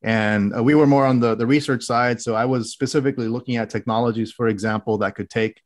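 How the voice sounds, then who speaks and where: A man's voice: mid-range voice, fairly even intonation, quick pace. A man from the Middle East talking as a podcast guest about his engineering background, explaining in detail and restarting his phrases often. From grew up in the Middle East. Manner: explanatory, many restarts and fillers, precise technical wording. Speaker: guest, health tech director. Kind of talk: casual interview talk.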